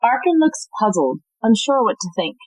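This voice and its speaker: A woman's voice: a little high, big pitch swings, moderate pace, no room sound, low-quality recording. A woman giving scripted narration of stage directions as the narrator of an audio play.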